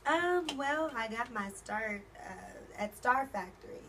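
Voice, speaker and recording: A young woman, a recording artist and radio personality, answers interview questions in a relaxed way with many fillers in a small studio. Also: on the high side, takes it slowly, slight background noise. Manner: relaxed, plenty of fillers, agreeable. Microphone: studio boom mic.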